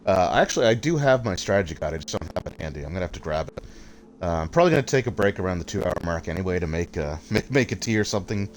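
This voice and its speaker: A man commentates over a video game stream in a loose, rambling way, full of fillers and restarts. His voice is very deep and a little worn, with faint noise behind it. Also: lively intonation, rapid-fire, low-quality recording. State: voice getting tired.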